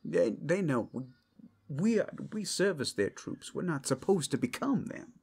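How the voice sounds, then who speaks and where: A man's voice: a little high, very animated, measured pace, creaky, a little mellow, slightly rough, pitched above the performer's own voice, exaggerated voice. A man voices an anxious brothel worker in a fantasy roleplay audio. The voice is pushed up and swings about in fretful protest, hesitant and easily exasperated. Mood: alarmed, protesting.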